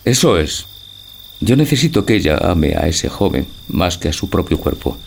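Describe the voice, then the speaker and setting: A man playing a lovesick, scheming old gentleman in an audio drama, with a declamatory, deliberate delivery. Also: deep voice, big pitch swings, creaky, a little hiss or hum, full character voice. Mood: secretive, resolute. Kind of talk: theatrical audio drama acting.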